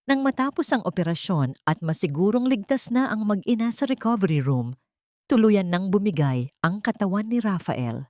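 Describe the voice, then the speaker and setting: A woman narrator reading a scripted dramatic audio story in a measured, theatrical storytelling way. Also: mid-range voice, animated, darkish tone, dry recording.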